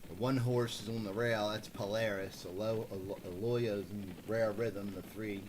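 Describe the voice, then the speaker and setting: A man hosting a casual live stream and calling horse races, rambling and correcting himself as he goes, then getting excited at the finish. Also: lowish voice, moderate pace, noisy background. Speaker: host calling horse races for a fantasy contest.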